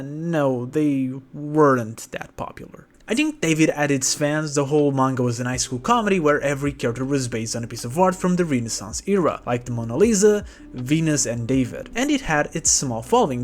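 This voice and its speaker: A man hosting a scripted commentary video, talking with dry sarcastic jokes. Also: fairly high pitch. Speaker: manga video essayist.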